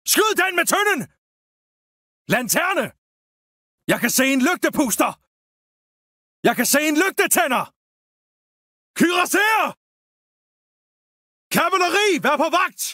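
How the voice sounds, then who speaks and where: A man's voice: extremely high pitch, pushed and bright, no room sound, studio quality, full character voice. A man voicing an urgent soldier of the gunpowder age in a video game, barking battle callouts. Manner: urgent, barked military callouts. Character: urgent Danish-Norwegian soldier of the gunpowder age, fighting cannibals.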